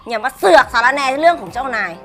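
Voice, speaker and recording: A woman's voice: very high-pitched, expressive, moderate pace, bright, forceful tone, raised voice, faint music behind, exaggerated voice. An actress playing a spoiled, jealous young wife who screams and sobs accusations at her husband and scorns the maid. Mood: contemptuous. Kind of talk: Thai melodrama acting.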